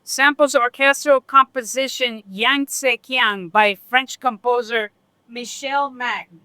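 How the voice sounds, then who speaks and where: A woman's voice: fairly even intonation, slow pace, clear, resonant tone, no room sound. A woman teasing her co-host in a casual vlog chat. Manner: teasing, bright high voice.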